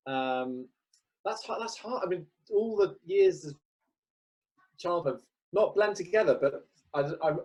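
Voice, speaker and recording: Vicar, hesitant and thoughtful. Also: male voice, a little high, mellow, breathy edge, low-quality recording. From Wales. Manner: hesitant, many ums and restarts. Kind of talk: relaxed interview answers.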